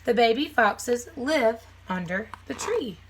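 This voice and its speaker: A woman's voice: fairly high pitch, lively intonation, slow, breathy edge. A woman teacher reading a children's nonfiction book aloud, phrasing it simply for young listeners with casual asides.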